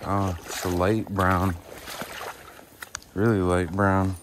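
Low-voiced young man vlogging by a river. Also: slow, background noise. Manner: casual, talks to the camera while fishing, gets excited at fish. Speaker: fishing vlogger. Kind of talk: casual outdoor vlog narration. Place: outdoors by a river. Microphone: action camera worn on the body.

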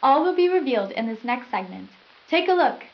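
A chipper, eerily reassuring teenage girl anchoring a school newscast. Her voice sits fairly high, on dull, lo-fi nineties videotape sound. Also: sing-song, brisk. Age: young adult. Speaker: news anchor. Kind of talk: scripted school news presenting, acted. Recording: dull lo-fi 1990s VHS sound.

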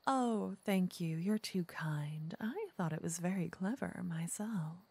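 A woman playing a flirty, teasing witch in an ASMR roleplay, softly and often in whispers, intimate and coaxing. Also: on the low side, lively intonation, unhurried, full character voice. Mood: playful, chatty. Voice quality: soft, breathy, often whispering. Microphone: close microphone.

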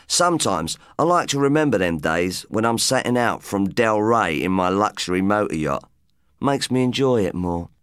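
Cocky English rocker with animated delivery. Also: male voice, on the low side, dry recording, clean studio sound. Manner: cocky, matey, colloquial. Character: boastful, chatty rock lead guitarist telling his tale. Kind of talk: first-person audiobook storytelling in colloquial slang.

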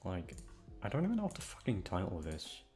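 A man's voice: low-pitched, huge pitch swings, fairly quick, darkish tone, soft background music. A man chatting casually and hesitantly to his listeners, rambling along in a self-deprecating, sweary way. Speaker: audio content creator.

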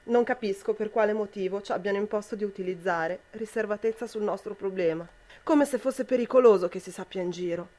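A woman's voice: mid-range voice, a little fast, mellow, close to an everyday voice. A young woman playing a frightened, unhappy wife in an amateur radio drama, plaintive and confiding. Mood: fearful, sad. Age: young adult.

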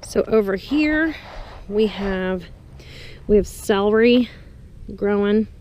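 A woman vlogger giving a chatty, casual walk-through of her garden, pausing between short phrases. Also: medium pitch, a little mellow. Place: small greenhouse and open farm garden, outdoors. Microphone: action camera's built-in mic, worn or handheld. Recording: outdoor handheld action camera with background noise. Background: clear ambient outdoor noise.

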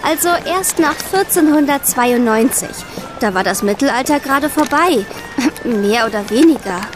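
A clever, sceptical schoolgirl in a children's audio drama. Her voice is high, and she explains and corrects in a matter-of-fact, teasing way. Music plays underneath. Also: animated, normal speed. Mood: sceptical, explaining. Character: bright know-it-all schoolgirl, sceptical and precise.

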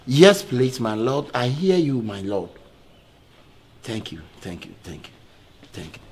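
Comic sketch lawyer, male, played by a man: apologetic, halting, husky, very animated pitch. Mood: meek, grateful. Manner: halting, repetitive, pleading. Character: bumbling, apologetic male lawyer addressing a judge. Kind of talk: comic courtroom skit acting.

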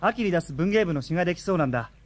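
A dreamy moody teen poet boy, higher voice, radio drama. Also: lively intonation, rapid-fire. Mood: brooding.

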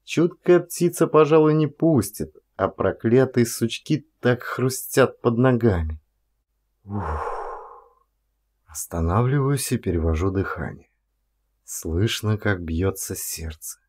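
Man narrating an expressive dramatic audiobook. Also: mid-range voice, slow, husky.

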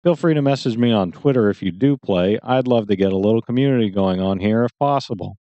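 A man's voice: lowish voice, quick pace, no room sound. A man speaking casually to viewers as the host of a gaming channel. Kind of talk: casual video outro addressed to viewers. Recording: dull lo-fi sound, dry.

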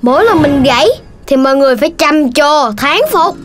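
Boastful, high-voiced cartoon puppy boy. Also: a little fast, fairly bright tone, soft background music. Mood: proud, boastful. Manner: exclaiming, showing off, whiny when thwarted. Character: boastful, dreamy little boy puppy, cheeky and excitable. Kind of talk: children's cartoon dubbing in Vietnamese.

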